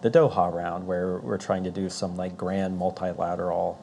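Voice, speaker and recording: An American man moderating a live question session in an informal, halting, jokey way. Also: sits low, flat delivery, vocal fry, a little mellow, slight background noise. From Alaska, United States. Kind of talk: casual live Q&A.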